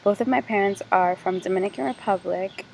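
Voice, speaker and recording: A woman's voice: medium pitch, fairly quick, creaky, a little mellow, slight background noise. A young American woman giving a class presentation and interviewing a guest, reading prepared figures with small stumbles. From United States. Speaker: student interviewer.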